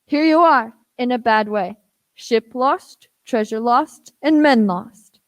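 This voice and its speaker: A woman's voice: fairly high pitch, slow pace, dry recording. A woman playing a bold, earnest young boy in a radio play, declaiming earnestly. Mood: defiant.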